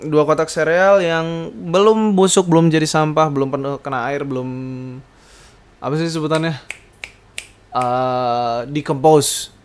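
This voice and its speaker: A man's voice: on the high side, steady tone, a little slow, pauses often, clear, resonant tone. Young man, casual and hesitant. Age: 20s. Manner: hesitant, searching for words, informal slang mixed with English. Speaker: podcast host. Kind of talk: casual rambling solo podcast monologue.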